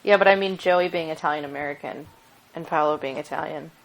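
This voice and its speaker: Relaxed young woman with a lower voice. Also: a little fast, creaky, poor audio. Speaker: podcast co-host. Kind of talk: casual chat.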